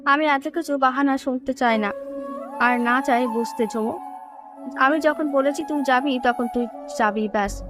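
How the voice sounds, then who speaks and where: A woman's voice: sits high, a little slow, over music. A woman playing a stern, exasperated middle-aged mother who scolds and insists in an audio story. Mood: stern, insistent. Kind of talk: audio story drama acting.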